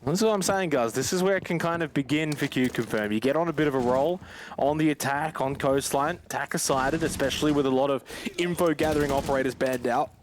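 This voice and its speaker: A man's voice: a little high, fast talker. A man commentating a live esports match in a conversational, informal way, with asides to listeners. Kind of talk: live game commentary and casual banter. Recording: clear game noise behind commentary. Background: video game sound.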